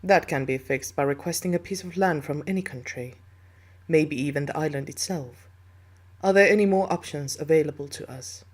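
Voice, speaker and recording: A commanding alien officer, played by a man in an audio roleplay. The voice sits somewhat high for a male and speaks in a formal, clipped, official way. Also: moderate pace, natural voice. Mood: businesslike.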